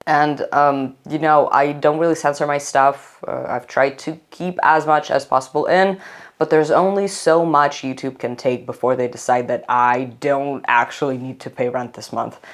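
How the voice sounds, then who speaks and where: A young adult narrator delivers a scripted history video essay in English. The tone is chatty and wry, with casual slang, and the pitch sits in a middle register. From Saint Petersburg, Russia. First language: Russian.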